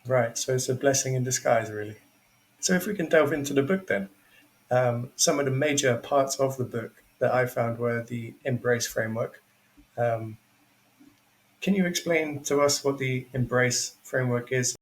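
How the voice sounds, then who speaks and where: A man's voice: medium pitch, normal speed, low-quality recording. A man in his twenties hosting a podcast, encouraging his guest and asking long questions. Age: 20s. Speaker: podcast host. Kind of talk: podcast interview.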